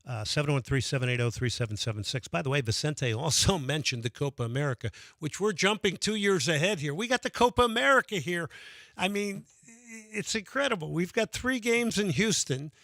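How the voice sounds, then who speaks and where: A man's voice: fairly high pitch, animated, brisk, fairly bright tone, dry recording. A man hosting a radio sports call-in show, talking with enthusiasm and taking callers. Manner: enthusiastic, reads out phone number repeatedly.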